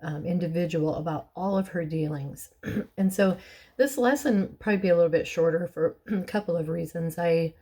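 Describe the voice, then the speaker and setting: Middle-aged woman, hoarse and raspy, low mellow tone, talks through a casual Bible study. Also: moderate pace. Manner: warm, chatty, with restarts and soft laughs. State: hoarse from bronchitis, clearing her throat.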